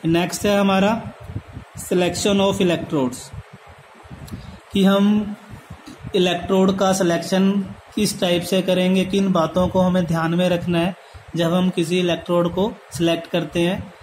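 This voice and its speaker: A man's voice: high voice, flat delivery, unhurried, a little mellow, a little hiss or hum, rough recording. A man with an Indian accent giving a lecture from notes, mixing in English terms and repeating points as he explains step by step. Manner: switches between Hindi and English terms. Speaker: polytechnic lecturer.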